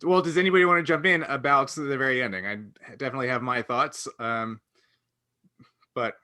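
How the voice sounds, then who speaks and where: A man co-hosting a casual panel chat about a TV show, animated and opinionated as he steers the discussion. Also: medium pitch, a little fast. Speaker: podcast co-host leading the discussion.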